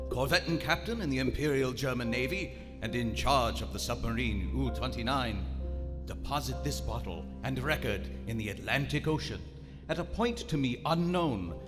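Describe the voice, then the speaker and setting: A man's voice: medium pitch, close to an everyday voice. Radio drama U-boat commander played by a man: formal, cold, stiff authority, over music in a reverberant hall. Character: proud, cold German U-boat captain of the Great War. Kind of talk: live radio drama, first-person narration and orders. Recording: live theater, long hall reverb.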